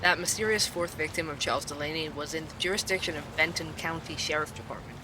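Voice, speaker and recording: A man's voice: fairly high pitch, fast talker, noisy background, close to an everyday voice. An actor playing a curious male investigative podcaster, who narrates and probes with questions. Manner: inquisitive, probing.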